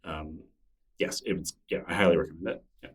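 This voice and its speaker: A man's voice: rumbling low, steady tone, a little fast, slightly rough, far from the mic. A man guest talking casually and enthusiastically in a library room, rambling with many fillers. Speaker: interview guest. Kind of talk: casual conversation. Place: public library room with a conference nearby.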